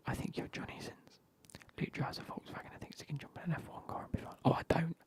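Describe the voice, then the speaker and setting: A man, whispering as he banters with his live stream chat and swears now and then. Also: fast talker.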